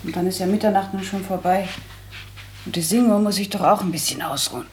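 Woman playing bossy grown daughter in a radio play, practical, unsentimental, medium pitch, matter of fact. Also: a little fast, darkish tone, a little hiss or hum, lightly acted. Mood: indulgent. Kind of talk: German literary radio drama acting.

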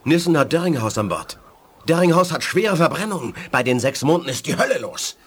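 An actor playing an alert, husky-voiced spaceship crewman reporting danger in a 1980s German radio play. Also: a little high, lively intonation, brisk. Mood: alarmed.

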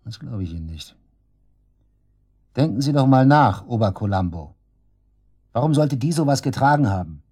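A man's voice: medium pitch, animated, moderate pace, long pauses, a little mellow, husky. An actor playing a brash, sarcastic murder suspect under police questioning in a radio drama, crude and defiant in his slangy talk. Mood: defiant, disbelieving. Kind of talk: naturalistic German radio drama acting.

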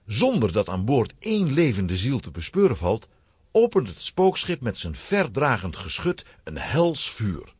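Husky, hugely animated male storyteller. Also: mid-range voice, measured pace, no room sound, studio quality. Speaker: narrator. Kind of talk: dramatic scripted storytelling for children.

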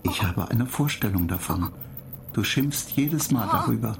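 A man playing a computer data agent in a radio play, matter-of-fact, clinical and measured, calmly pedantic and dryly superior. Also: lowish voice. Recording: clear noise bed behind the voice.

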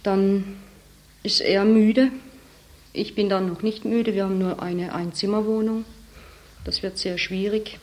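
A young woman giving a candid, plain and reflective interview account as a saleswoman. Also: on the low side. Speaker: department store saleswoman interviewee.

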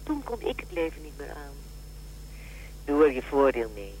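Blunt helpline operator, wildly swooping pitch. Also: female voice, sing-song, normal speed, darkish tone, husky, full character voice, rough recording. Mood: knowing. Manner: matter-of-fact, drily blunt. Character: brisk, blunt female crisis helpline operator. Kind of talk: radio drama acting.

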